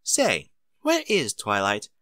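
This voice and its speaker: A man's voice: on the low side, wildly swooping pitch, slow pace, bright, forceful tone, no room sound, studio quality, heavily acted. A man voicing a refined, polite lady with a fussy, genteel manner for a story reading. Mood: polite, gracious.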